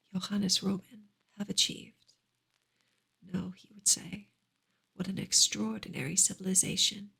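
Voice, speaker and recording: A woman reads a novel aloud in a soft, hushed ASMR style, sometimes close to a whisper. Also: medium pitch, creaky, breathy edge, poor audio. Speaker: reader on an ASMR channel. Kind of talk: soft ASMR audiobook reading.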